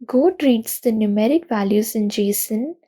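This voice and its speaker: A young woman giving a recorded conference talk, explaining programming pitfalls in an earnest, steady way. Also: a little high, a little slow. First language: not Russian. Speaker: conference speaker.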